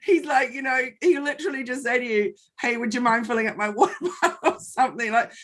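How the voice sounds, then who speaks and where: An Australian woman in her forties, co-hosting a casual chat over a video call, telling stories in an animated way and laughing often. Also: a little high, fast. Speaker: co-host.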